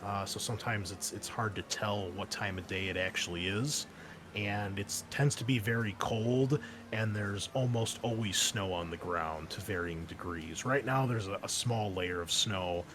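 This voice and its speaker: A man with a fairly low voice acts as game master for a tabletop roleplaying game. His phrasing is informal and halting, feeling its way along. Some steady noise sits behind him.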